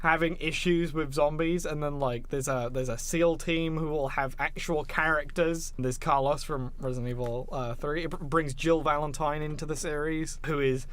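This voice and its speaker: A man's voice: fairly high pitch, steady tone, normal speed, few pauses, fairly bright tone, clean tone. A man co-hosting a podcast, chatting casually about films in an enthusiastic, rambling, sweary way. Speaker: podcast co-host.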